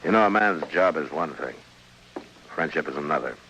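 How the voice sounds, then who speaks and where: Very deep-voiced, grave frontier marshal. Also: male voice, lively intonation, normal speed, bright, forceful tone, full character voice. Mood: grave, reassuring. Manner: grave, terse, plain-spoken. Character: stern, weary, dutiful frontier marshal, loyal friend. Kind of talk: old-time radio western drama acting. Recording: noisy old radio drama recording.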